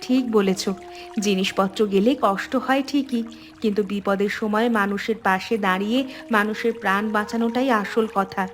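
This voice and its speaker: A kindly village wife from a cartoon drama, acted by a woman. She speaks earnestly and with feeling at a medium pitch, with music playing behind her. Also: steady tone, moderate pace, close to an everyday voice. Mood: relieved, earnest. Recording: music bed under the voice.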